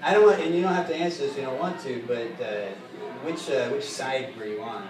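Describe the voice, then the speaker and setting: A man co-hosting a live comedy show, reading a mock news report in a halting, dry way full of "uh"s. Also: mid-range voice. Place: comedy bar stage with live audience. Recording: echoey live room from far off, loud crowd.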